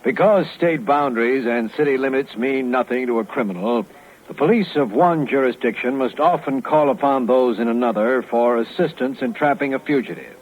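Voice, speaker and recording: An actor playing a retired police lieutenant, narrating a 1950s radio crime case in a formal, measured, matter-of-fact way. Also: male voice, mid-range voice, close to an everyday voice. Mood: composed, authoritative. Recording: thin, narrowband 1950s radio recording.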